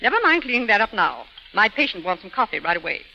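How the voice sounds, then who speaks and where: An actress playing a bossy, gossipy hospital nurse in a 1930s radio drama, with a strident voice. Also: female voice, mid-range voice, sing-song, quick pace, creaky, pushed and bright, heavily acted. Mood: brisk, bossy. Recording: hissy, muffled 1930s radio recording.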